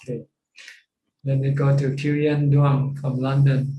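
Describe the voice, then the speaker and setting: A man with a Thai accent giving informal Buddhist teaching on a video call, repeating phrases in halting fashion. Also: mid-range voice, flat delivery, dull audio. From Thailand. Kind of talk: informal Dhamma teaching with questions. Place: Zoom call. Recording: roomy, echoing video call.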